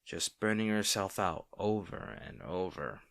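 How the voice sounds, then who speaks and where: Man acting a caring, gently firm boyfriend. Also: deep voice, expressive, a little slow, creaky, full character voice. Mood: soft, understanding. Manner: tender, coaxing, gently insistent. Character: caring, gently firm young boyfriend soothing an overworked partner. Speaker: audio roleplay performer. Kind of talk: boyfriend roleplay audio, intimate one-sided dialogue.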